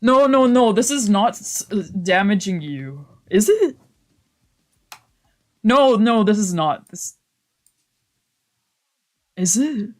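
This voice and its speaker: A man's voice: high-pitched, big pitch swings, slow, long pauses. A man streaming a visual novel, chatting informally and reading aloud, reacting and often correcting himself. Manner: informal, reactive, swearing, trailing off and self-correcting. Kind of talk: casual let's play commentary mixed with read-aloud narration.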